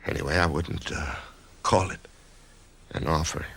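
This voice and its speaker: An actor in a radio drama playing a nervous office worker who is facing a risky operation, who stammers and breaks into shouts. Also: male voice, extremely low pitch, fairly even intonation, moderate pace, breathy edge, exaggerated voice. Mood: tense. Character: anxious male office worker facing surgery. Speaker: actor playing the lead and narrator.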